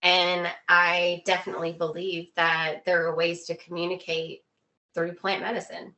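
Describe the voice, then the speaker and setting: A woman speaking as an interview guest in a casual, earnest way, halting and repeating phrases for emphasis. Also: fairly low pitch, flat delivery, bright, forceful tone, muffled recording. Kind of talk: casual conversational explanation.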